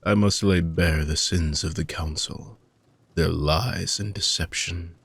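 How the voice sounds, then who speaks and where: A man playing an ancient, weary dark mage villain with a gravelly, husky voice, speaking in soft, deliberate, formal phrases as an intimate fantasy audio roleplay monologue. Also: low voice, steady tone, no echo, heavily acted. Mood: solemn, brooding. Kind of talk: slow, intimate dramatic monologue for sleep-aid audio roleplay.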